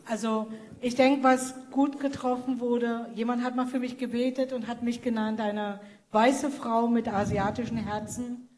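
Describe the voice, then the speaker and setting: A woman working as a missionary to Cambodia, telling her conversion story softly, with her sentences fading away. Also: a little high, fairly even intonation, a little slow. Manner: soft-spoken, trailing off quietly. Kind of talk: personal testimony in an interview. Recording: faint background noise.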